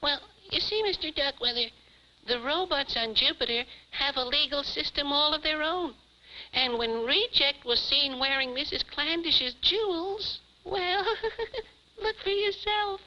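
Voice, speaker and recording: Boyish alien puppet, high-pitched, piercingly bright, exclamatory, caring, bossy, vintage TV puppet show. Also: measured pace, full character voice. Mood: friendly. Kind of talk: 1950s television puppet show acting. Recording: muffled 1950s television soundtrack, faint hiss.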